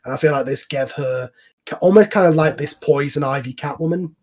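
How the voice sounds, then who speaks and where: A man with a slightly high voice talks casually in an online group chat. He explains things in an animated way, often stopping and restarting a thought. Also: a little fast. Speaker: series creator in a cast discussion. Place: voice chat call over the internet.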